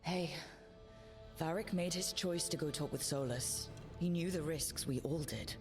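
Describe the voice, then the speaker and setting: Young female team leader, low voice, earnest, steady, measured, fantasy video game, music behind. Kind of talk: video game cutscene acting.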